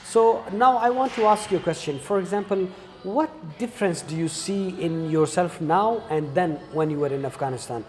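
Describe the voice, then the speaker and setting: A man's voice: high voice, normal speed, hardly any pauses. A man hosting a casual interview and addressing viewers, with long reverb on his voice. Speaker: interview host. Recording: reverberant hall-like echo.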